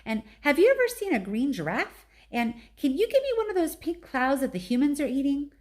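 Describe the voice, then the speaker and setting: A little elephant girl, played by a woman reading aloud from a storybook. Her voice is small, clear and raised high. She is chatty and frank, asking things with innocent curiosity. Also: sing-song, a little fast. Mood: eager, curious. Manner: innocent, questioning.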